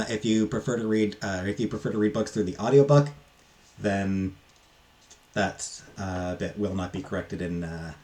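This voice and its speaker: A man with a fairly low voice talks through a casual review. His delivery is unscripted and halting, with hesitant pauses and asides that trail off. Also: a little mellow. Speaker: book reviewer.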